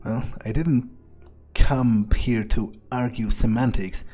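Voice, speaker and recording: A man's voice: mid-range voice, steady tone, slow, mellow, natural voice. A man roleplaying an irritable, cynical vampire in a video game, muttering bluntly and thinking aloud. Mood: impatient. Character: irritable, foul-mouthed, cynical male vampire errand-runner.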